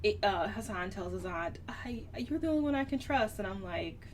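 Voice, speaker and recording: A woman's voice: medium pitch, lively intonation, moderate pace, recorded from a distance. Woman chatting, slightly off-mic with faint noise. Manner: informal, conversational. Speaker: podcast co-host. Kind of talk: casual chat, short reactions.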